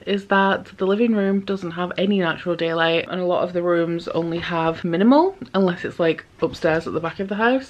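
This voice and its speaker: A woman's voice: fairly low pitch, muffled recording. A young woman chatting casually at home about the book she is reading, filling her talk with "like" and speaking candidly. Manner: chatty, lots of "like" fillers, candid and blunt. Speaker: book vlogger. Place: at home, small room.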